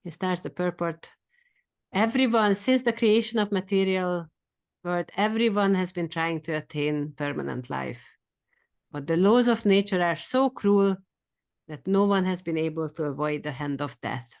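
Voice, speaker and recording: Woman, Hungarian accent, low voice, eager, class teacher. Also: a little mellow. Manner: quick and eager, sometimes runs fast. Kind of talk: scripture study class.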